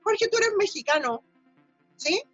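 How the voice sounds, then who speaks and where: A woman hosting a radio show, lively and informal as she questions her guest. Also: very high voice, measured pace, dull audio, soft background music. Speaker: radio host.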